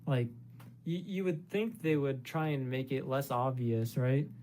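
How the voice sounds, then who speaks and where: A man giving casual, off-the-cuff reaction commentary in a quiet, distant-sounding clip. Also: medium pitch, unhurried, slight background noise.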